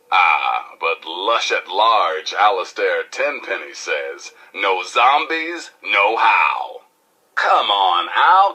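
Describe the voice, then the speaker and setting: A man's voice: fairly low pitch, pushed and bright, breathy edge, heavily acted, rough recording. A male actor playing a flamboyant, irreverent radio DJ in a post-apocalyptic game, with an American accent, a gritty voice and theatrical, wisecracking patter. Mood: sardonic, urgent. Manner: theatrical, wisecracking, folksy, drawn-out and punchy. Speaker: radio DJ and newsreader. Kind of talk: showy radio DJ patter and mock newscast.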